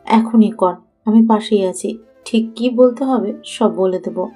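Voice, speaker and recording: A woman's voice: mid-range voice, takes it slowly, mellow, soft background music. A woman acting a bossy, sly young woman who coaches her friend in an audio story, persuasive and assured. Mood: sly coaching.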